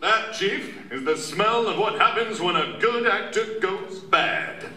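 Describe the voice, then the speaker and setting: An actor playing a wisecracking, mock-heroic young radio hero in a live parody of an old-time radio play. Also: male voice, high-pitched, slow pace. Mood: cocky. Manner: hammy, earnest mock-heroic. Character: wisecracking masked superhero posing as swell young male reporter. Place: convention stage before an audience. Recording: echoey distant stage, noisy crowd.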